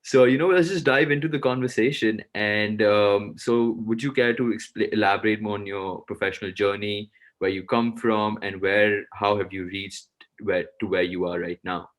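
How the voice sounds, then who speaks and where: A man's voice: fairly low pitch, fairly even intonation, normal speed. A young man, a student, hosting a semi-formal interview and putting questions to his guest. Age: young adult.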